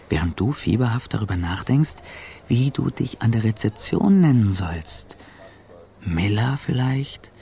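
An actor playing an anxious, self-accusing traveller in a radio drama, speaking an intimate, brooding inner monologue. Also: male voice, on the low side, creaky, darkish tone, a little hiss or hum. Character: anxious, self-accusing male business traveller, inwardly narrating.

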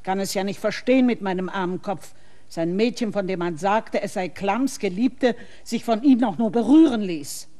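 An actress playing a stern, middle-aged innkeeper who lectures a stranger with condescension in a radio play. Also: female voice, medium pitch, moderate pace, dark tone, close to an everyday voice. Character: stern middle-aged woman innkeeper, guarding her maid.

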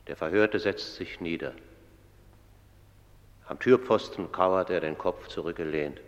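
A man's voice: deep voice, muffled recording. A man acting as the grave narrator of a tribunal of the dead, formal and detached in a verse drama. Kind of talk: solemn scripted verse narration, radio drama. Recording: long hall reverb.

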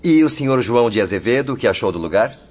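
An actor with a Brazilian accent playing a courteous, compassionate spirit attendant with a formal manner in a radio drama. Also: male voice, mid-range voice, expressive, fast, darkish tone, lightly acted. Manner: formal, polite. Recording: dull audio with music.

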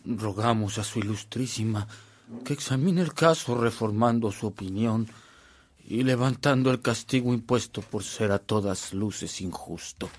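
An actor playing a formal, firm but respectful lawyer with an oratorical manner in a radio drama. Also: male voice, fairly low pitch, a little slow. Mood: formal pleading. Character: formal, deferential yet firm male lawyer pleading a case.

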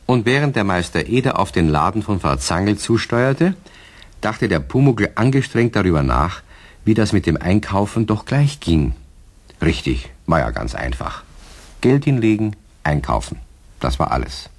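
A man's voice: lowish voice, very animated, normal speed. A man narrating a children's radio play as a scripted storyteller. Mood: storytelling.

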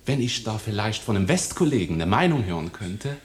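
An actor playing an East German cabaret comedian, deadpan and explaining his own punchlines. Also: on the low side, fairly quick. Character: East German comedian, dry joke-teller. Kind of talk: 1990s radio play acting.

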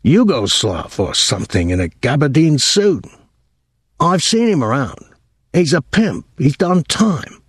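A man's voice: a little high, sing-song, a little slow, slightly rough, no room sound, studio quality, voice pushed up, exaggerated voice. A man voicing a drunken, insistent sailor with thick, slurred speech in an audiobook story. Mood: slurred, matter-of-fact. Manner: rambling, defensive drunken insistence.

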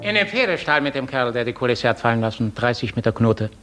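An actor playing a vain, cruel count in a haughty, commanding manner, in 1950s German radio drama style. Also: male voice, mid-range voice, very animated, fast, music in the background, lightly acted. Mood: harsh command. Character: vain, cruel count, master of serfs and theatre.

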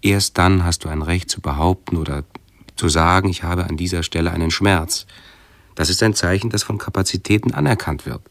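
Deep, creaky pedantic male intellectual. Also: a little fast, no echo. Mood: pedantic, uneasy. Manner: pedantic, hesitant, self-correcting. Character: pedantic, ailing male intellectual hypochondriac, earnestly explaining. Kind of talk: radio play acting.

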